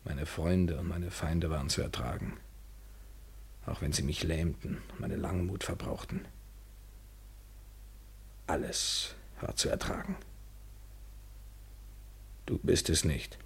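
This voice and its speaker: An actor in a literary German radio play playing a brooding, bitter lover, intense and eloquent, swinging from hushed to vehement. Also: male voice, extremely low pitch, lively intonation, slow pace, vocal fry, long pauses, slightly rough, exaggerated voice. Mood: hushed, anguished. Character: brooding, bitter lover, a man wrestling with himself.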